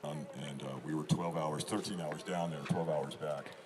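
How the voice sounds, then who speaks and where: A man with a slightly hoarse voice, giving a folksy, rambling motivational talk to a group as a team-building trainer. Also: a bass voice, steady tone, normal speed, a crowd in the background. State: hoarse from hours of talking. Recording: distant mic, loud outdoor background noise.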